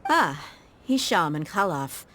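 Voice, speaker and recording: A woman's voice: on the low side, very animated, slow pace, a bit bright, slight background noise, exaggerated voice. An actress playing a tough, easygoing, blunt female mercenary in a video game, frank and confident. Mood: easygoing, teasing. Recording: game dialogue over music.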